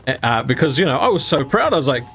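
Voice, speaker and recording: A man's voice: mid-range voice, sing-song, fast, vocal fry, fairly bright tone. Casting note: young guy, mid-range voice, casual rambly storyteller full of "um"s and "you know"s, faint music under him. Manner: rambling with fillers, laughing as he tells it. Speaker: gaming YouTuber telling a personal story.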